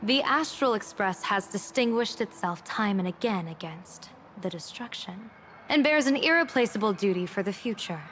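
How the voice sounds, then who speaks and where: A woman voice acting a shrewd, composed general and diviner in a game cutscene, speaking in a measured, formal, theatrical way. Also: medium pitch, animated, fairly bright tone, a little hiss or hum, natural voice. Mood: wry, playful. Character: shrewd, composed female general and diviner, secretly gambling against gods.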